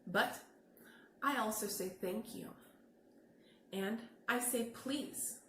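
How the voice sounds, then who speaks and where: Woman acting out glum storybook bad seed, theatrical, earnest about being good, bright voice, echoey room. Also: on the high side, slow. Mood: hopeful, earnest. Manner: theatrical, expressive storybook delivery. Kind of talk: children's picture book read-aloud. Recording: echoey room with faint noise.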